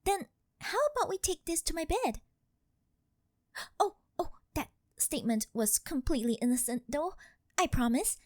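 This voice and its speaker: A woman's voice: high-pitched, expressive, moderate pace, long pauses, dry recording, studio quality. A woman playing a rich, possessive, doting older girlfriend in an ASMR roleplay, coy, cutesy and teasing as she sweet-talks. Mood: flustered, eager.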